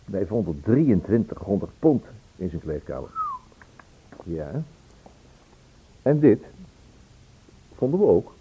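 Tinny, low-voiced radio-play investigator. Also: male voice, big pitch swings, slow, long pauses. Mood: matter-of-fact. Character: matter-of-fact investigator, companion to the worried man. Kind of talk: Dutch radio play acting. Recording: tinny old radio recording, faint noise.

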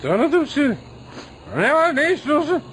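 A man's voice: very high-pitched, sing-song, unhurried, fairly bright tone, full character voice. A man playing a brutish, blustering, contemptuous gendarme lieutenant in a radio drama. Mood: scornful. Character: brutish, corrupt gendarme lieutenant, scornful, then panicking. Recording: noisy radio drama recording.

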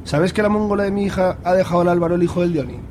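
A man's voice: high-pitched, racing, darkish tone, a little hiss or hum, lightly acted. A man acting a blustery, bigoted middle-aged conservative father with a Spanish accent in a radio drama. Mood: scornful, worried. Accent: Spain. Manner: blustery, grumbling. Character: grumbling bigoted hard-line conservative father. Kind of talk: colloquial radio drama dialogue.

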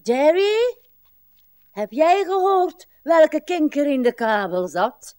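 A weary old wife in a radio play, needy and insistent. Her voice moves slowly and theatrically, sweeping between murmurs and cries, recorded very dry. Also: high voice, sing-song, darkish tone, dry recording, heavily acted. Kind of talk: broadcast radio drama acting.

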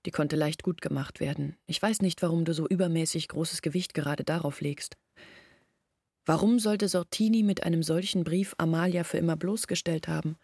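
An actress in a German radio play playing an earnest village woman who recounts events in a measured, literary way. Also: deep voice, darkish tone, slightly rough, no echo, clean studio sound. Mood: insistent.

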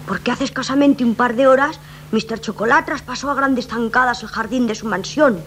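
Lady narrating old radio serial, dramatic, bit high voice, slight hiss. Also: fairly quick, fairly bright tone.

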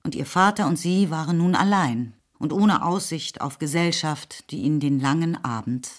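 A woman narrator reading literary prose for a radio play in a measured, expressive storytelling manner. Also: sits low. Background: faint music.

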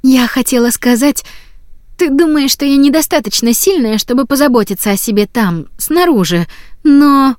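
A woman voicing an earnest, determined teenage girl in an audiobook, with a gentle, pleading manner. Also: sits high, lively intonation, moderate pace, no echo, clean studio sound, pitched above the performer's own voice. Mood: pleading, insistent. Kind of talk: audiobook character voice.

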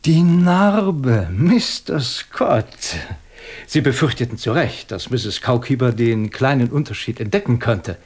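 Ein Schauspieler als souverän bohrender Kriminalinspektor. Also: male voice, mid-range voice, a little mellow, close to an everyday voice. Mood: dryly triumphant. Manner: methodical, probing, dryly superior. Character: shrewd, composed male British police inspector. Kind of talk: German radio crime drama acting.

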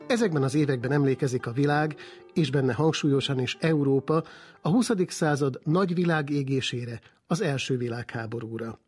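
Husky male radio interviewer. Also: medium pitch, a little mellow. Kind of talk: scripted introduction and interview questions.

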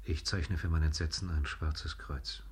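An actor playing a grave chronicler, narrating a radio play in a solemn, measured way. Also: male voice, rumbling low, steady tone, slight background noise.

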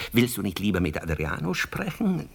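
Deep, husky, evasive lovelorn rival. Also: male voice, wildly swooping pitch, a little slow, heavily acted. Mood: wry, wistful. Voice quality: husky, breathy. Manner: stammering, theatrical, wheedling excuses. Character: evasive, lovelorn, sardonic male friend and rival, Bruno. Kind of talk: radio drama acting.

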